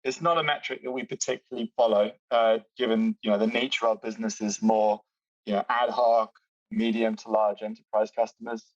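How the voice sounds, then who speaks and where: A British man, a software executive, explaining product features in a fluent, detailed, matter-of-fact way on a video call. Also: fairly low pitch, steady tone, fairly quick, no room sound, distant mic, muffled recording. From Britain.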